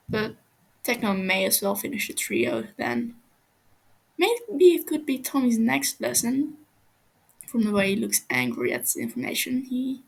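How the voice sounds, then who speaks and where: A woman's voice: a little high, creaky, slightly rough, poor audio. A woman reading fan fiction aloud as scripted story narration.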